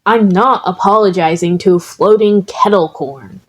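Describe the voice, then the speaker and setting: A hot-tempered teenage hero boy, acted by a woman narrator, snapping and grumbling his lines. He is gruff and combative, with the snarl of a cartoon hothead in an audio story. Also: mid-range voice, normal speed, natural voice. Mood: irritated.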